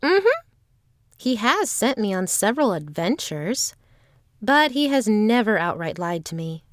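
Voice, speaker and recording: A woman playing an earnest, guarded traveling performer with formal, measured phrasing in a fantasy audio drama. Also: medium pitch, big pitch swings, no echo, studio quality. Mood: assured.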